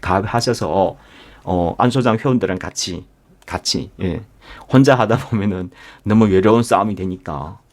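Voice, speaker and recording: Male investment instructor, lowish voice, emphatic live lecture delivery with rhetorical questions to the audience. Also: normal speed. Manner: addresses listeners directly and repeatedly. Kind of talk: live market lecture with membership pitch.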